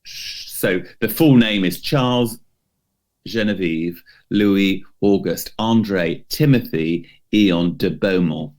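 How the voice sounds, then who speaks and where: A man's voice: low voice, slow pace, darkish tone. A man co-hosting a podcast, telling a history story casually and unscripted, in a hesitant, loosely phrased way with some hedging. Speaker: podcast co-host presenting a history feature.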